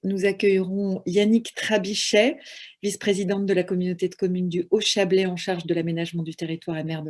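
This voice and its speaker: A woman's voice: on the low side, a little mellow. A woman moderating an online round table, introducing panelists with frequent hesitations and fillers over a video call.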